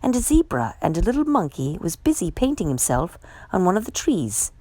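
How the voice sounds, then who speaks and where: Expressive low-pitched woman reading a storybook. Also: brisk, no echo. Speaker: storytime host reading a picture book aloud. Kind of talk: expressive children's read-aloud narration.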